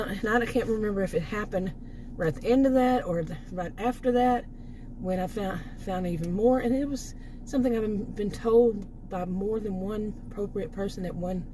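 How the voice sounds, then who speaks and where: A middle-aged woman, hoarse from losing her voice, talking in a rambling, unscripted way with many restarts and asides. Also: fairly low pitch, noisy background. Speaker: vlogger. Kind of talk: casual unscripted monologue.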